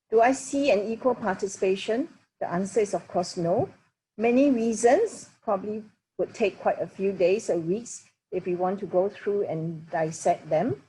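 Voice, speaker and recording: A woman from Singapore, a shipping industry leader speaking deliberately on a video-call panel. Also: medium pitch, mellow, slight background noise, poor audio. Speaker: shipping association president, panelist. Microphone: laptop webcam mic, video call.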